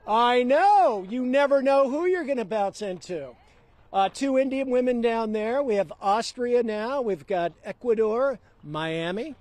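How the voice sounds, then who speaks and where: A man's voice: very high-pitched, lively intonation, a little slow, darkish tone, no room sound. A man who is a TV news anchor doing live street interviews outdoors in a city park, chatting and bantering easily with passers-by. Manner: chatty, jokey banter with strangers.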